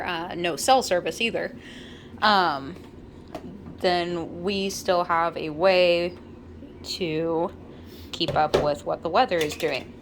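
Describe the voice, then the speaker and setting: Casting: American woman, slightly low voice, chatty wry co-host, faint background noise. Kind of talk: casual chatty explanation.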